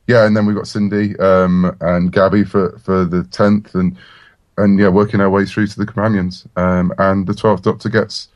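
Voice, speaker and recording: Low-voiced enthusiastic man, dryly recorded. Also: level tone, normal speed, dry recording. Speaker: interview guest, comic writer. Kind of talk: casual interview chat.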